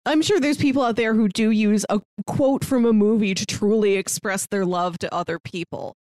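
A woman's voice: mid-range voice, normal speed, a little mellow, no room sound, studio quality. A woman co-hosting a podcast, talking casually and conversationally about movies. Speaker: podcast co-host.

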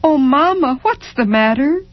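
A woman's voice: high voice, expressive, measured pace, dry recording, muffled recording, soft background music, pitched above the performer's own voice. A woman voicing anxious small children in a literary story, whining and pleading in simple childish speech.

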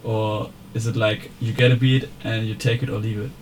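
A man interviewing a musician, asking relaxed, friendly questions. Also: fairly low pitch, moderate pace, rough recording. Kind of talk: casual interview. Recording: steady background noise.

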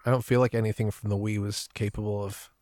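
A man's voice: on the low side, level tone, fast, a little mellow, dry recording, clean studio sound. A man chatting casually as a podcast guest, acting out his own reactions as he tells stories. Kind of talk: casual chat.

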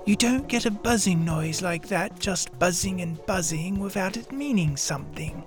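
A man voicing a simple, earnest, honey-loving bear in a children's storybook reading, musing slowly with pondering, repeated phrases. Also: high voice, animated, music in the background, pitched above the performer's own voice. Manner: slow, pondering, repetitive phrasing.

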